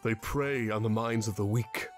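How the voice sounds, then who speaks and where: A man's voice: lowish voice, steady tone, moderate pace, lightly acted. A man voicing a grim, resolute male guide in a fantasy visual novel game, grave and cautioning. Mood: grim, insistent. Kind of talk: acted dialogue read in a let's play. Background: background music.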